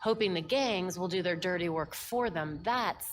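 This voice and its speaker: A woman's voice: on the low side, normal speed, background noise, close to an everyday voice. A woman playing a determined, thoughtful young vigilante heroine in a video game, speaking earnestly and conversationally. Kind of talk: video game cutscene acting.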